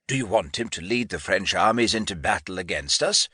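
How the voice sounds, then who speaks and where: A man's voice: deep voice, animated, fairly quick, bright, forceful tone, slightly rough, no echo, clean studio sound, heavily acted. Man acting an irascible, imperious old English lord. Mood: irritable. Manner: imperious, emphatic, formal. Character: irascible grey-bearded English lord and military commander.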